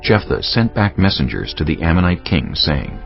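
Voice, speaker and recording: A man narrates scripture in a deep, gravelly voice with dramatic rises and falls. The recording is slightly dull, with faint music underneath. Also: big pitch swings, moderate pace.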